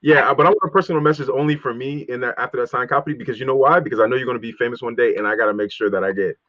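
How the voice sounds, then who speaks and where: A man hosts a casual interview, talking quickly in an eager, slangy way. His voice comes through dry and close, with little room sound. The sound is dull and a bit lo-fi. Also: mid-range voice, no room sound. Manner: fast, eager, slangy and friendly. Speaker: interview host.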